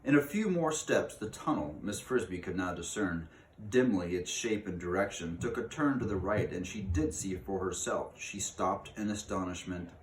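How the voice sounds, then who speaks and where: A man's voice: low voice, normal speed, recorded from a distance. A man narrating a children's novel aloud, now and then stumbling and rereading. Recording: echoey room, off-mic, faint noise.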